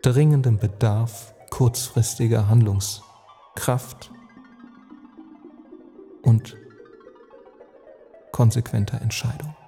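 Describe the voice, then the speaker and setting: A man delivering a measured scripted monologue in formal, academic, long sentences. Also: mid-range voice, warm tone, in a large hall. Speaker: narrator giving an essay on climate. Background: music.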